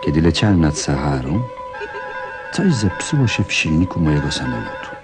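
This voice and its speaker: An actor playing a reflective pilot who narrates a radio play in a wistful, dramatic storytelling manner. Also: male voice, rumbling low, big pitch swings, normal speed, over loud music. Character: reflective pilot recalling his little friend.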